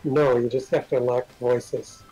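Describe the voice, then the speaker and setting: A man with a husky voice, giving game tips in casual chat as a co-commentator. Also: mid-range voice, little pitch movement, moderate pace, mellow, poor audio. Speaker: stream co-commentator. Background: game music.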